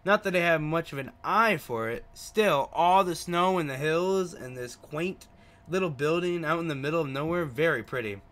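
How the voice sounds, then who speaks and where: A man's voice: a little high, lively intonation, normal speed, fairly bright tone, pitched above the performer's own voice. A man voicing a chatty, friendly young country taxi driver in a visual novel. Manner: effusive, chatty. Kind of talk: visual novel dialogue read aloud.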